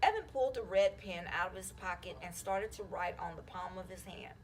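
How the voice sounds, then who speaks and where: A woman reads a children's storybook aloud in an expressive, animated way. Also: fairly low pitch, a little fast. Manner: animated, acting out the children's dialogue. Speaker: school counselor reading a children's book aloud.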